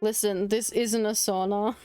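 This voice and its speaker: A woman's voice: mid-range voice, little pitch movement, a little slow, dark tone, clean tone, dry recording, soft background music. A woman streaming a game casually, informally reading dialogue aloud and muttering her own reactions in between.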